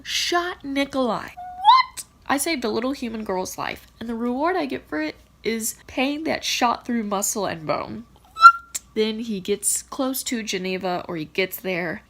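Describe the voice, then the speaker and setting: Young female book vlogger, higher-pitched, creaky, casual and theatrical in her slangy storytelling. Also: noisy background. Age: young adult. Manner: dramatic, slangy, exclaiming in mock shock. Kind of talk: casual animated book recap.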